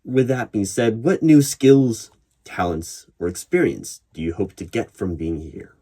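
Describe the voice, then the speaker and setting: A man with a very low, husky voice performs an ASMR interview roleplay. He questions in a measured, probing manner, leaving deliberate pauses as he goes. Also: mellow. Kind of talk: ASMR roleplay, one-sided job interview. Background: computer keyboard typing.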